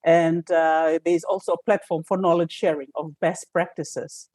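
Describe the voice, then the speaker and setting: A woman from Fiji, an energy analyst answering interview questions with many fillers and pauses, speaking over a remote call. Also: lowish voice, steady tone, darkish tone, no echo.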